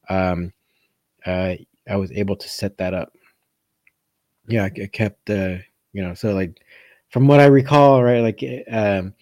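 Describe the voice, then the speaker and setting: A man's voice: on the low side, mellow, no echo. A man hosting a casual live question-and-answer session as a coach, thinking aloud and often rephrasing as he goes. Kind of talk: casual live chat answering viewer comments.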